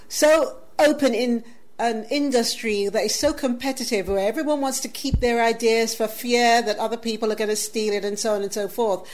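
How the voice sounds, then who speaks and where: A woman with a slightly high voice interviewing someone she clearly admires. She speaks in an easy, conversational way, mixing her questions with warm praise for the guest.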